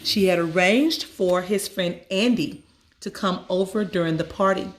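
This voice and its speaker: A woman reading a children's story aloud as a storyteller, with measured, expressive phrasing and dramatic emphasis. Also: lowish voice, slight background noise. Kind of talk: expressive scripted story narration.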